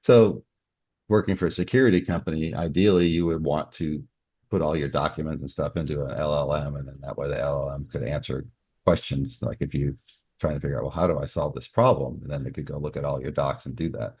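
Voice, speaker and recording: Very deep, husky man in conversation. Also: steady tone, a little fast, darkish tone, no echo, muffled recording. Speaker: podcast guest, author; security company worker. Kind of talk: casual explanatory conversation.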